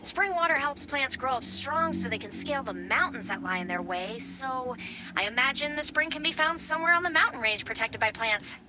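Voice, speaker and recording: A giant talking flower gatekeeper, easygoing and friendly, full of casual slang. The voice sits high, and steady background noise hums under the game audio. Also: female voice, fairly quick. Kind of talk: video game dialogue.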